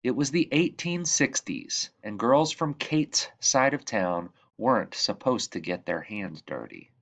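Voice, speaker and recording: A man reading a children's picture book aloud in measured phrases that drop softly at the ends of sentences. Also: medium pitch, big pitch swings. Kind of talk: read-aloud storybook narration.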